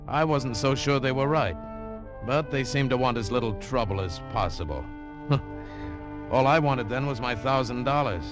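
A man's voice: lowish voice, close to an everyday voice. A man playing a tough American adventurer who narrates his own story with a terse, hard-boiled delivery in a 1950s radio drama. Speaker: narrator and lead.

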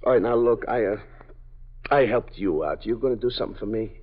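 A man's voice: fairly low pitch, a little fast. An actor playing a nervous male parolee informant who stammers and pleads, in 1950s radio drama style. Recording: dull old radio broadcast.

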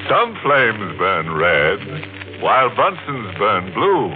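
An actor playing a tongue-in-cheek, ghoulish host of a 1940s radio mystery show, sardonic and mock-sinister. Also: male voice, fairly low pitch, sing-song, slow pace. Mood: gleefully macabre. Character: ghoulish, tongue-in-cheek horror host who savours grim puns. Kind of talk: 1940s radio horror hosting. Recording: dull old radio recording, music behind.

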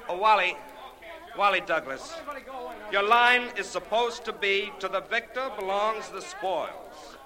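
An actor playing a brisk, exasperated film director in a 1940s radio drama, barking commands with sarcasm. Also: male voice, sits high, a crowd in the background. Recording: reverberant old 1940s radio recording.

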